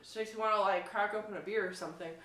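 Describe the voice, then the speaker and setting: A woman's voice: mid-range voice, steady tone, racing, a bit bright. Echoey-sounding woman, enthusiastic and informal. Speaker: violinist demonstrating an instrument. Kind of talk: casual product demo chat. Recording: roomy, echoing sound.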